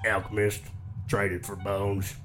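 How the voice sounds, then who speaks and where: An actor playing a gruff, blunt fantasy pit laborer in an audio comedy, talking deadpan in terse third-person sentences. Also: male voice, on the low side, takes it slowly. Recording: long cavernous reverb, music behind.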